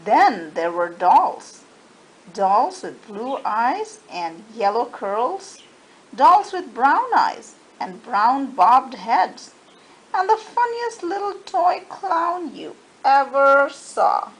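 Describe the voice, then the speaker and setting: Slow, high-voiced woman reading to children. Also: big pitch swings, rough recording. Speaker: storybook reader for children. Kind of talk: expressive read-aloud narration. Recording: faint background noise.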